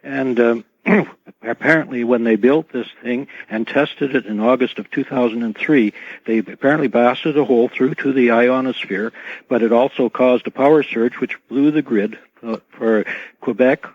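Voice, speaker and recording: Man from Canada, radio caller, medium pitch, earnest, dull dry phone sound. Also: normal speed, dry recording. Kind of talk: earnest call-in comment and question.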